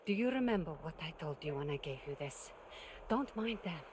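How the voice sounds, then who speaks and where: A woman playing a calm, cryptic, unyielding older mentor in a cinematic video game, speaking in a measured, grave way. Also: low voice, background noise. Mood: grave, protective.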